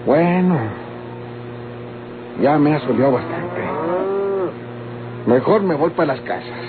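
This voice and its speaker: Slow, brooding rural Mexican horseman. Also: a little high, lively intonation. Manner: musing aloud to himself, colloquial. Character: scheming, grumbling rural horseman dreaming of money. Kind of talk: old radio-novela acting. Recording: very noisy, tinny old radio recording.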